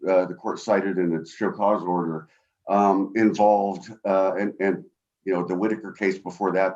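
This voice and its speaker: A man with a booming voice arguing an appeal as an attorney over a video call from home, halting and full of fillers. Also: a little mellow, muffled recording. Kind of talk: appellate oral argument. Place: home office.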